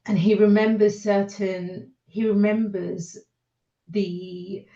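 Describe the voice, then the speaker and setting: Slow, echoey, reflective British woman. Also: medium pitch, flat delivery, mellow. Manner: reflective, hesitant, halting. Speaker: podcast guest. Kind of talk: personal storytelling in a panel discussion. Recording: echoey, dull lo-fi sound.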